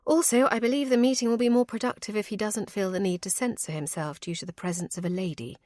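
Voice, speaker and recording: A composed, caring young lady of a historical audiobook, voiced by a woman narrator. The speech is formal and gently firm, lifted higher than the narration, and dry. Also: fast talker, no room sound, natural voice. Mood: practical. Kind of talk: audiobook character voice.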